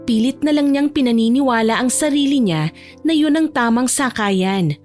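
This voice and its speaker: A woman's voice: fairly high pitch, a little mellow. A woman reading scripted narration for an audio romance drama as the storyteller.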